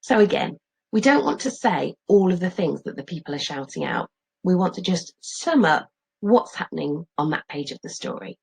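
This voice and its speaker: Animated, slightly husky woman reading aloud. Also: lowish voice, moderate pace, poor audio. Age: middle-aged. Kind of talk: expressive children's story narration and lesson.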